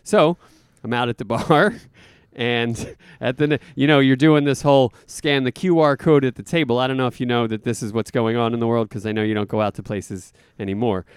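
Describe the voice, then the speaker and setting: A man's voice: medium pitch, a little fast, clean tone, no echo, clean studio sound. A man co-hosting a podcast in casual chat, joking and laughing often. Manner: jokey, laughs often, rambling. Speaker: podcast co-host.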